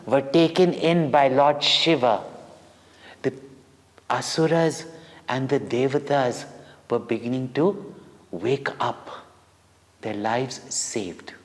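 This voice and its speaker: Slow-speaking Indian man in a reverberant hall. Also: a little high. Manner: deliberate, dramatic, with short pauses between phrases. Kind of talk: devotional storytelling for children. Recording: long reverberant hall echo.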